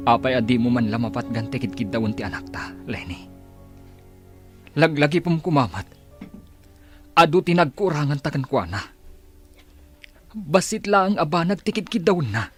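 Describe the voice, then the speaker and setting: An actor playing a loving, weary middle-aged father in an Ilocano radio drama, pleading and breathy with emotion. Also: medium pitch, expressive, normal speed, pauses often, lightly acted. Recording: music bed behind the voice.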